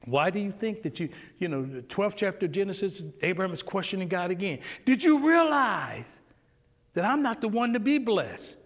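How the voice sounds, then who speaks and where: A middle-aged man teaching a Sunday school lesson in a church sanctuary, preaching with animation and acting out exchanges with God, swinging between loud and hushed. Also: sits high, sing-song, moderate pace, long reverb tail. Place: church sanctuary, standing before a pulpit.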